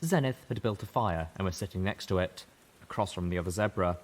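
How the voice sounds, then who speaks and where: A man reading a story aloud in first person, steady and measured. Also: sits low. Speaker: audiobook reader. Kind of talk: first-person narration of fan fiction.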